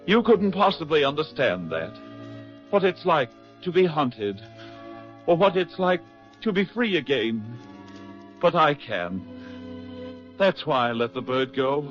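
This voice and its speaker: An actor playing a haunted, gentle man finding his way back, halting and earnest, in 1940s radio drama style. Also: fairly high pitch, very animated. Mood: earnest, relieved. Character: haunted, gentle man recovering from a broken mind. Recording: muffled 1940s radio recording, music behind.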